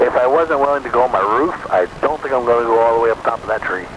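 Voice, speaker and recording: An American man chatting casually over a two-way radio, a bit halting as he explains himself. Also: medium pitch, muffled recording. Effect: two-way radio transmission. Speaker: amateur radio operator on a group net. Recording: noisy two-way radio with steady background noise.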